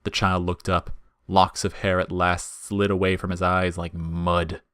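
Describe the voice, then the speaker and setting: Deep-voiced male story narrator. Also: little pitch movement, unhurried, fairly bright tone, smooth, no room sound, clean studio sound. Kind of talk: scripted dramatic story narration.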